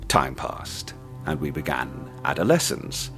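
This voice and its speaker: A cold, sadistic tormentor, voiced by a man in an audio drama, speaking softly and deliberately to his captive. His voice sits very low, and it rises and falls with lively, theatrical swings. Faint music plays beneath him. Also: full character voice. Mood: gloating recollection. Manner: soft, measured, silkily menacing. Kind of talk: radio play acting.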